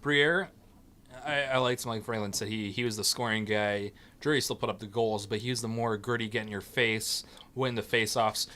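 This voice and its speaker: A man's voice: on the low side, bright, forceful tone. A man from Western New York co-hosting a casual sports podcast, explaining and comparing players at length. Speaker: podcast co-host.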